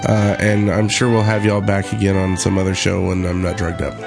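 A man's voice: low voice, monotone, a little fast, gravelly, music in the background. A man hosting a podcast in casual, unscripted chat. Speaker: podcast host.

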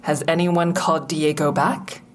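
A woman's voice: low voice, fairly even intonation, unhurried. An actress playing a guarded, agitated, sardonic woman who narrates and speaks in an audio drama. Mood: agitated, sarcastic. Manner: sardonic, exasperated. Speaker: narrator and lead character. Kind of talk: first-person audio drama narration and dialogue.

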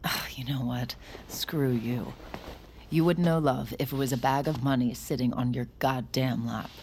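A woman's voice: very deep voice, steady tone, moderate pace, a little mellow, a little hiss or hum. A woman playing a guarded, sardonic young mind reader in an audio drama, speaking in a curt, weary, dry way. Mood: hurt, angry. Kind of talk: audio drama dialogue and confessional narration.

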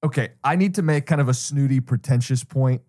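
A man's voice: mid-range voice, a little fast, mellow. American man explaining betting picks methodically. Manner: methodical, explanatory, cites statistics. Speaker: sports betting show co-host. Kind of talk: conversational sports betting analysis.

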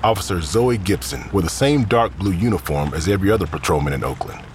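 A man's voice: sits low, lively intonation, quick pace, a little hiss or hum. A man reading scripted crime fiction as the narrator.

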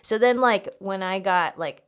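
A woman's voice: medium pitch, a little slow, clean tone. A young American woman talking casually as a podcast guest, rambling and peppering her sentences with "like". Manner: rambling, heavy use of "like". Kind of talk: casual chat.

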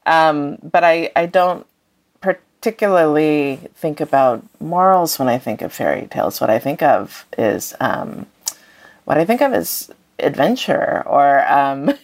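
A woman's voice: sits low, sing-song, unhurried. A woman speaking as a guest author in a thoughtful interview, hesitantly searching for her words.

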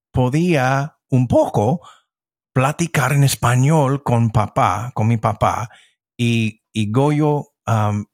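A middle-aged man speaks in a mid-range voice. He talks slowly and haltingly, with many restarts and fillers. The sound is dry and close. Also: medium pitch, dry recording. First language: English. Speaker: podcast guest. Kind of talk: casual interview chat.

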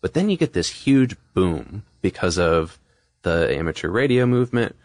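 A man's voice: low voice, expressive, normal speed, a little mellow, no room sound, clean studio sound. A man co-hosting a podcast, explaining history in a casual, conversational and informal way. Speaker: podcast co-host.

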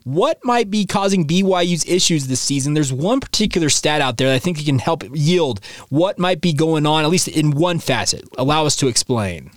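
A slightly hoarse man hosts a casual sports podcast, his voice sitting a bit high for a man. He talks excitedly, full of filler words and quick restarts, into a close mic with a dry, dead sound. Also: no echo. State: slightly hoarse, coming down with a sore throat. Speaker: podcast host.

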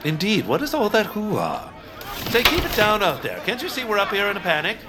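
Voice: mid-range voice, huge pitch swings, brisk, over a noisy crowd, heavy background noise. An actor playing a haughty, sarcastic baron in a comedy radio play, speaking in a pompous manner. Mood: snooty.

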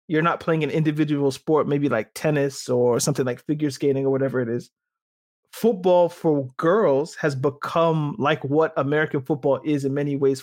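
A man in casual sports talk, his voice sitting a little high. He rambles with lots of restarts, fillers and lively asides, like a podcast co-host thinking aloud.

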